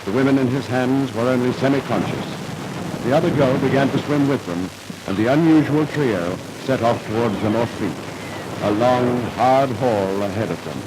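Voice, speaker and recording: A man's voice: mid-range voice, normal speed, noisy background. A man narrating a scripted 1950s radio drama in a formal, dramatic storytelling manner, on an old radio recording.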